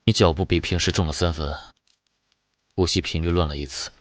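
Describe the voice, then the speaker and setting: A man voicing a hardened, defiant, world-weary young ex-soldier in an animated comic drama, speaking in terse, unhurried, commanding lines. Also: sits low, no echo, lightly acted. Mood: cool, shrewd. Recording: music behind the voice. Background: dramatic music.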